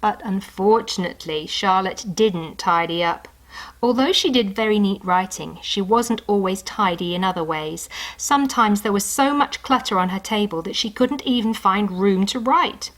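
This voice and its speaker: Female storyteller, mid-pitched, expressive and unhurried, reading for young children with chatty asides. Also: poor audio. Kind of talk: children's story read aloud with asides to the listener.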